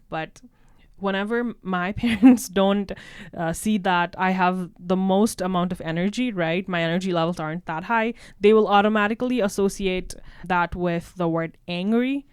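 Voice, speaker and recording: A woman's voice: mid-range voice, normal speed, hardly any pauses, smooth, no room sound, studio quality. A woman social worker talking casually on a podcast, often tagging her points with "right?". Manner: conversational, leans on "right?" tags.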